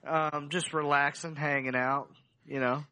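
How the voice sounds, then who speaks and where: A man's voice: fairly high pitch, level tone, a little slow, pushed and bright. A man co-hosting a podcast, telling comic stories casually in rambling, sweary slacker talk. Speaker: podcast co-host. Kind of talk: casual comic storytelling with friends.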